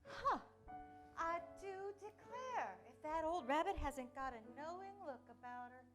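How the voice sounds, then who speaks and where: A woman's voice: sits high, expressive, measured pace. A woman playing an old-fashioned, observant nursemaid with a folksy, exclaiming manner in a storybook readers' theater. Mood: surprised, musing. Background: music.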